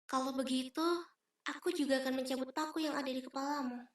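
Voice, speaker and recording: A woman's voice: high-pitched, level tone, quick pace, close to an everyday voice. A woman playing a fierce, blunt, protective female spirit in a comic dub, recorded distantly with some hiss. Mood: indignant, startled. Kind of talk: Indonesian comic dub acting. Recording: hissy, roomy, distant microphone.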